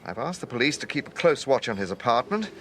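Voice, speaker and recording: An actor playing an urbane gentleman police detective in a radio drama, with a British accent and a courteous, probing, dryly amused manner. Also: mid-range voice, big pitch swings, fast, slight background noise. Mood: reflective.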